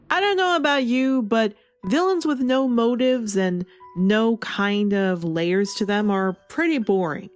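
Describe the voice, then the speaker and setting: A woman with a fairly high voice presents a scripted review over background music. She argues her points in an explanatory, opinionated way. Key words get clear emphasis. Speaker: comic book review host. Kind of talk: scripted video essay commentary.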